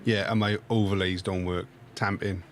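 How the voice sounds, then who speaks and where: A man's voice: deep voice, little pitch movement, normal speed, over loud music. A man hosting and commentating a live sim race, sounding dry, wry and a bit harried. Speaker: stream host and race director.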